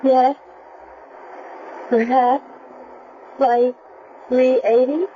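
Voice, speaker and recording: A young American woman unsure of her memory in a radio drama. Her voice is fairly high and her delivery guarded and halting. The sound is dull, like an old broadcast. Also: flat delivery, slight background noise. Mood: guarded, uncertain.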